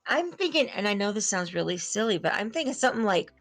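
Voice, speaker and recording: A woman's voice: mid-range voice, very animated, quick pace, a bit bright, no echo. A woman co-hosting a livestream show, bantering casually on an online group call. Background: music.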